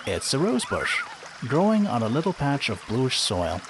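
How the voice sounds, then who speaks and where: A man playing a quippy, sarcastic detective with a wry, expressive delivery in a mystery adventure game. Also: mid-range voice, moderate pace, music in the background. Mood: curious, dry. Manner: wry, dryly joking, expressive. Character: quippy, sarcastic male detective, curious and easily baffled.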